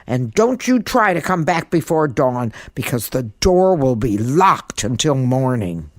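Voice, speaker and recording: Casting: female reader for a doting ghost mum in a storybook, firm and coaxing, animated, swooping intonation. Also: a bass voice, unhurried, dry recording, clean studio sound, pitched below the performer's own voice, heavily acted. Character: brisk, doting ghost mother, coaxing and insistent. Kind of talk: storybook character voice.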